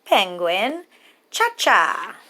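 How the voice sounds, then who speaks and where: A woman storyteller reading a children's picture book aloud with measured, rhythmic, expressive phrasing for young listeners. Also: mid-range voice.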